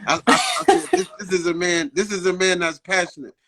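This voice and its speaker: A man hosting a casual interview in slangy speech, haltingly repeating phrases as he builds his questions. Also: sits high, lively intonation, heavy background noise. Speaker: interviewer, host.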